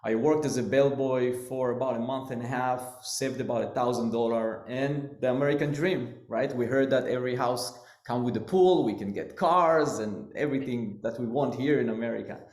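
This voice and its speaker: A man's voice: medium pitch, moderate pace, few pauses, a little mellow. Israeli-accented man telling his story. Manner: earnest, loosely phrased, non-native grammar. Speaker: business owner guest. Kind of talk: storytelling interview answer. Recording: roomy echo.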